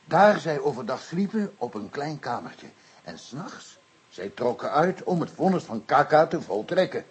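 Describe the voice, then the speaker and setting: Very husky, earnest African interpreter. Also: male voice, fairly high pitch, sing-song, heavily acted. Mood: solemn. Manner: halting broken Dutch, solemn storytelling. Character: earnest African interpreter speaking for his captive king. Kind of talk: radio play acting.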